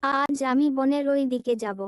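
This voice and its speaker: A woman voicing a sweet, earnest young girl in an animated cartoon. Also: sits high, a little slow, dry recording, studio quality. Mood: cheerful.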